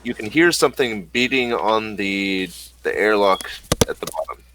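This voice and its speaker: A man narrating a tabletop horror game as game master, in slow and halting speech with many fillers. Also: on the low side, background noise.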